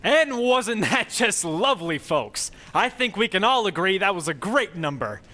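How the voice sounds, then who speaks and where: A man's voice: high-pitched, very animated, normal speed, pushed and bright. A man narrating a scripted show as an old-time radio announcer, with dramatic, theatrical delivery.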